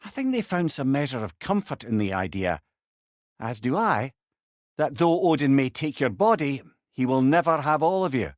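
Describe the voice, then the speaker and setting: Guy voicing old scottish know-it-all sage for a game, wry and wordy, really animated, dry studio sound. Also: mid-range voice, moderate pace, no room sound, lightly acted. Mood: wry, learned. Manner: wry, eloquent, erudite. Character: witty, learned old male sage, loyal travelling companion. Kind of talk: video game character dialogue.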